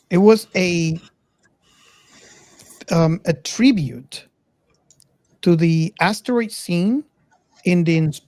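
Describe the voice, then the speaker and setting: A man in a casual film discussion, speaking in a measured, hesitant way and putting thoughts to the group as questions. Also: a little high, a little mellow, no room sound. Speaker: podcast co-host.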